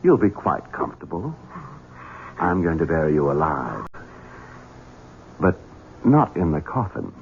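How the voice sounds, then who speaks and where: A man acting in a 1970s radio drama as a menacing, scheming heir in his late thirties, with a taunting, coldly deliberate delivery. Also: extremely low pitch, huge pitch swings, creaky, heavily acted. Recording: noisy old radio broadcast, muffled.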